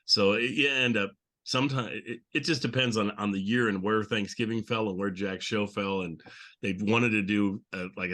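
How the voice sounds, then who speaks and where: Bright-voiced man rambling as podcast host. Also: fairly low pitch. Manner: rambling, conversational. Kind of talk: casual chat.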